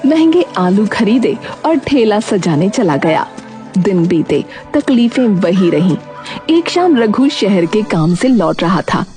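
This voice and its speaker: Casting: female narrator for dramatic moral tales, animated intonation, mid-range voice over a music bed. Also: medium pitch, takes it slowly. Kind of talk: dramatic scripted story narration. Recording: background music throughout.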